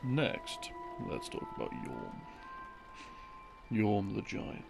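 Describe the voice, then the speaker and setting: A man playing an easygoing boyfriend who tells rambling bedtime game lore in a casual, unhurried, conversational way. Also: on the low side, huge pitch swings, creaky, heavily acted. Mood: relaxed storytelling. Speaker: audio roleplay performer. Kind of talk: rambling bedtime storytelling, sleep-aid roleplay audio. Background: background music.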